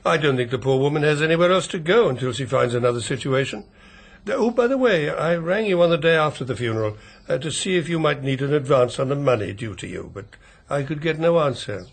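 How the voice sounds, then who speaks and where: An English actor in a British radio drama playing a courteous older family solicitor with a formal, politely understated manner. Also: male voice, fairly high pitch, animated, fairly quick. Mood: polite, measured. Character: courteous, discreet older male family solicitor, dryly tactful.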